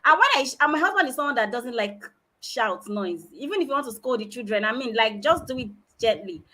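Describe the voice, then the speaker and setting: A woman with a Nigerian accent from Delta State, a guest on a panel chat, telling stories in an animated way. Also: fairly high pitch, a little fast. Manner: animated, storytelling.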